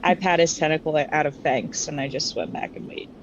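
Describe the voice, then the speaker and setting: A woman with a slightly low voice chats casually as a tabletop player. Her audio is somewhat dull, with faint noise. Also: a little fast. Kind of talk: casual game chat.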